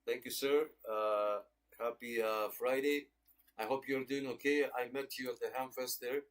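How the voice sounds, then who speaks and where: A man's voice: medium pitch, steady tone, normal speed. Friendly man hosting a radio check-in. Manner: friendly, thanking and greeting listeners. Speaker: host of a radio club check-in net. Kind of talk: casual livestream roll call of check-ins.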